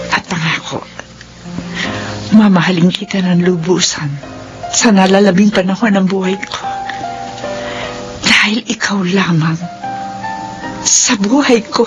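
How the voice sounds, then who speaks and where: An actress plays an older woman in love, earnest and emotional. Her delivery is tender and full of doubt, in the manner of sentimental radio drama. Music plays clearly behind her. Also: medium pitch, a little slow, pauses often, natural voice. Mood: tender, devoted. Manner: earnest, emotional, Tagalog mixed with English.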